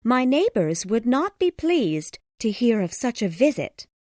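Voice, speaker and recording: A man's voice: extremely high pitch, very animated, unhurried, darkish tone, no echo, studio quality, pitched above the performer's own voice, full character voice. A man reading a story aloud, voicing an anxious, sorrowful young woman in a pleading tone. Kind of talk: audiobook character voice.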